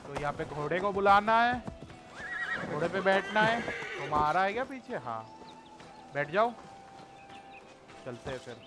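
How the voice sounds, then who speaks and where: Fast-talking, high-voiced Indian man gaming. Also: expressive. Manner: informal, chatty. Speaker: gamer, walkthrough host. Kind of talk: casual game commentary. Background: game music and sounds.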